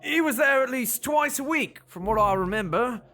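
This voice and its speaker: A cheerful, slow-witted ex-copper answers with blithe literalness. His intonation bounces widely up and down. He sounds soft-hearted and evasive, in audio drama style. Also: very high-pitched, expressive, exaggerated voice. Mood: earnest.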